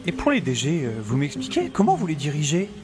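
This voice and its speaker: An actor playing a flippant professor and explorer in an audio drama, wry and informal in narration and dialogue. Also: male voice, fairly high pitch, wildly swooping pitch, fairly quick, loud music behind the voice. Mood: hesitant, flippant. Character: flippant, hesitant male professor and explorer narrating his adventure.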